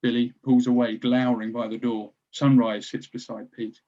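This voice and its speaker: A man's voice: mid-range voice, level tone, normal speed, mellow. Male narrator, slightly dull recording. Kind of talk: scripted narration of stage directions.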